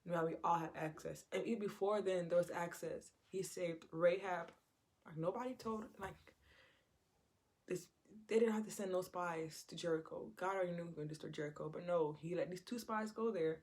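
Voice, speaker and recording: A woman's voice: lowish voice, fairly quick, darkish tone. A woman giving an informal Bible teaching in a conversational way, repeating her phrases and acting out quotes. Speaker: preacher.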